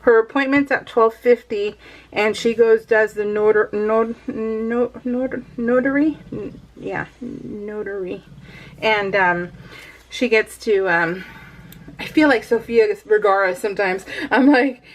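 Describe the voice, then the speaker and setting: A woman's voice: on the high side, takes it slowly, low-quality recording. A woman in her thirties chatting casually while doing a scrapbooking project, rambling and laughing often. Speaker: scrapbooking vlogger. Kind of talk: casual voiced-over craft chat.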